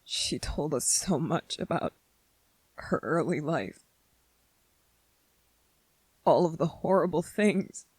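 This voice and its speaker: A woman playing an anxious, self-doubting, gentle young male soldier in a scripted ASMR roleplay monologue, speaking softly and haltingly with a husky voice. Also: low voice, lively intonation, no room sound, full character voice. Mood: hurt, doubting. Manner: hesitant, halting, with repeated phrases and pauses.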